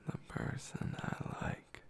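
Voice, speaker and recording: A man softly whispering the lines of a cheerful, forward young woman in a storybook reading. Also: takes it slowly, lightly acted. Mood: tender. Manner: soft whispered delivery.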